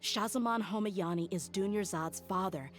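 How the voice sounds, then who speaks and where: An actress playing a serious, loyal young woman mercenary in a video game, speaking frankly and deliberately. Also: mid-range voice, faint music behind, close to an everyday voice. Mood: thoughtful, serious.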